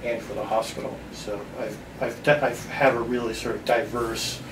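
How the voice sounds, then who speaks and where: A man's voice: mid-range voice, flat delivery, normal speed. A man from upstate New York giving informal answers as a college department chair being interviewed. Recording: roomy echo, distant mic, background noise.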